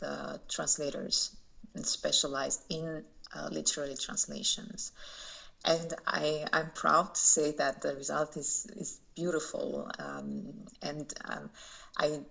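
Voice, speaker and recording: Low, gravelly woman with halting speech. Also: fairly bright tone. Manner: thoughtful, halting, many fillers and restarts. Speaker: poet and translator. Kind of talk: interview answers. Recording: dull lo-fi recording.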